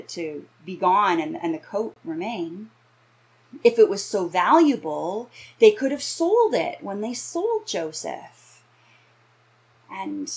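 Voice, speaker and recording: Woman, thirties to forties, minister, slow pace, medium pitch, explanatory sermon delivery. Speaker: minister giving a reflection. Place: small indoor room with bookshelves.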